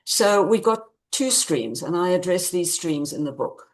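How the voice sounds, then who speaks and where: Low-voiced woman author in interview. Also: expressive, measured pace. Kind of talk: conversational interview answers about own book.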